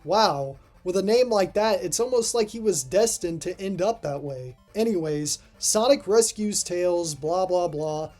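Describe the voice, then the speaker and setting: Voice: medium pitch, animated, measured pace, hardly any pauses, darkish tone, faint music behind. A host giving casual, scripted review commentary as a voice-over, with dry, offhand asides. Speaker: host of a video ranking cartoon episodes. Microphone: voice-over recording.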